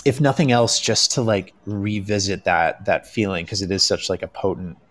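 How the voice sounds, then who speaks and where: A man's voice: deep voice, normal speed. A man speaking casually and reflectively as a creative guest on a panel, searching for his words.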